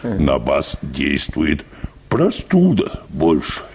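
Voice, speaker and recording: Slow, gravelly deep bass choir singer. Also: male voice, huge pitch swings, darkish tone, a little hiss or hum, full character voice. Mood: earnest, pompous. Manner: wordy, hesitant, deferential, repeating polite filler phrases. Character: meek, rambling, hard-drinking church choir bass, a patient. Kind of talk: radio play acting of a comic short story.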